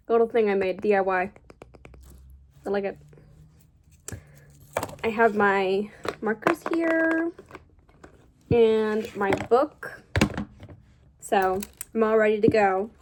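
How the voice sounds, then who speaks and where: A woman's voice: mid-range voice, slow, pauses often, slight background noise. A young woman vlogging casually while she draws, chatty and rambling, with a slight cough and a voice she says she is losing. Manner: chatty, rambling, self-correcting. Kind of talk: casual drawing vlog chat.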